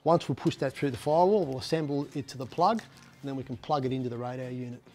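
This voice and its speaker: A man's voice: mid-range voice, quick pace, darkish tone. A man in his fifties with an Australian accent, presenting a casual how-to in a laid-back, matter-of-fact, colloquial way. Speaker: presenter of DIY car videos. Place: garage shed and inside a parked vehicle.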